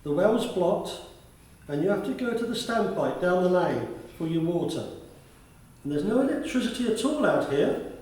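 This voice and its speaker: A man's voice: high voice, very animated, moderate pace, a little mellow. A man acting in a radio play as an earnest, concerned school attendance officer, speaking formally and sympathetically. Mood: concerned questioning. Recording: very echoey, far from the mic.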